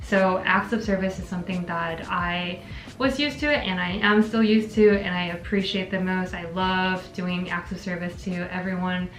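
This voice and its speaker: A woman's voice: lowish voice, steady tone, moderate pace. A young woman from Korea hosting a casual relationship Q&A, answering thoughtfully and reading viewer questions aloud. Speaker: channel host. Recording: echoey room with background music.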